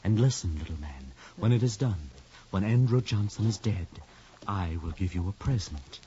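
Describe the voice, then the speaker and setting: A man's voice: deep voice, lively intonation, mellow. A man in a 1950s radio drama playing a brooding, theatrical conspirator who speaks in grandiose, poetic, domineering phrases. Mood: grandiose, coaxing. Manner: grandiose, slow, deliberate, domineering. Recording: dull, noisy 1950s radio recording.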